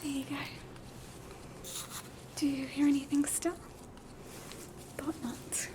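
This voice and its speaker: A woman with an Australian accent whispering a gentle, reassuring ASMR roleplay as a spooky hospital doctor. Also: sits high, level tone, slow pace, background noise. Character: soothing, spooky hospital doctor treating hauntings. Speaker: ASMR performer playing a doctor.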